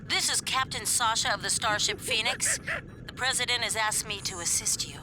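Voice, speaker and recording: An actress playing a confident, courteous starship captain in a video game, crisp and official. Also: female voice, fairly high pitch, big pitch swings, normal speed. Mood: businesslike. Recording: loud background noise.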